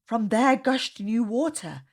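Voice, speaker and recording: A woman reading a rhyming children's picture book aloud in a measured storytelling manner. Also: a little high, animated.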